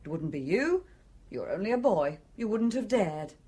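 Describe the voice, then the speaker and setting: Husky, cunning carer. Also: female voice, mid-range voice, very animated, normal speed, dark tone. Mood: needling. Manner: coaxing, needling. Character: cunning female carer coaxing a dangerous youth. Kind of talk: radio drama acting.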